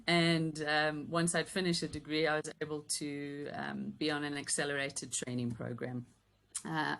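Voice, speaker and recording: A woman in her fifties with a softened Yorkshire accent and a low, steady voice. She talks as an interview guest, chatty and reflective, pausing often on ums. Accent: Yorkshire English softened by South African years. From Yorkshire, England. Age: 50s. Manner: warm, chatty, thoughtful with frequent ums and light laughter. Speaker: guest, business coach. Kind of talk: casual interview chat.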